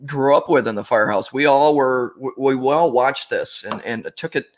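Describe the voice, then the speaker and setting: Middle-aged American man, halting and reflective. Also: mid-range voice. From upstate New York, United States. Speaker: podcast host and veteran firefighter. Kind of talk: casual shop-talk interview.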